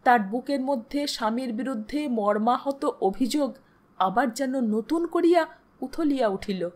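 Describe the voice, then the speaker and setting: Woman, high voice, story narrator, formal literary reading, expressive, measured delivery.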